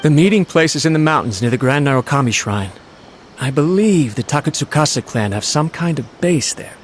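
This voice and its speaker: A man's voice: fairly high pitch, lively intonation, brisk, slight background noise, lightly acted. A man playing a nervous, loyal servant in a video game, speaking in a deferential, hesitant and anxious way. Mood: worried. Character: nervous, humble, loyal male servant of noble clan. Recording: game music playing behind the voice.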